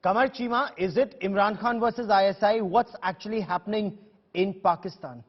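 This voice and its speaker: A male news anchor with an Indian English accent moderates a debate in a high voice. He puts questions pointedly and cuts in, on slightly dull audio. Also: moderate pace, husky. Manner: pointed, interrupting.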